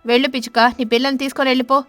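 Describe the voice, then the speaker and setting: Cartoon stork husband played by a woman: stern, sharp-tongued, lecturing, high-pitched for a male, dry sound over music. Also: level tone, very fast, no room sound. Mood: harsh, scornful.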